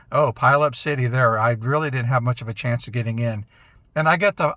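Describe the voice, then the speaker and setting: A man talks casually to his viewers in a medium-pitched voice. He sounds informal and chatty, working through his thoughts aloud like a hobby vlogger. The sound is dry, with very little room in it. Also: fairly bright tone, dry recording. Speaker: amateur radio vlogger. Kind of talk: casual unscripted recap to camera.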